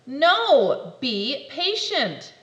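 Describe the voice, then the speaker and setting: A woman's voice: on the low side, little pitch movement, slow pace, echoey room, recorded from a distance, pitched below the performer's own voice. A woman voicing a bossy caterpillar friend who scolds emphatically. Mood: exasperated.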